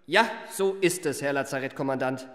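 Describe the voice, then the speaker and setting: An actor plays a young gentleman swindler in a literary radio play. His voice is mid-range, and he phrases everything in ornate, courteous, self-regarding sentences. He sounds cultivated and eloquent throughout. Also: medium pitch, normal speed, no room sound, studio quality, natural voice. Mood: earnest, flustered. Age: young adult. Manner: ornate, elaborately polite, self-regarding diction. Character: eloquent, well-bred young male confidence man recounting his life.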